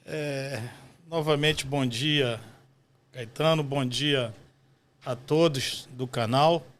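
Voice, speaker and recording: A man's voice: medium pitch, slow pace, a bit bright. A man in his fifties with a Brazilian accent from Rio de Janeiro, answering in a conversational interview style as a podcast guest. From West Zone of Rio de Janeiro, Brazil. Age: 50s. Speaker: podcast guest, postal union director.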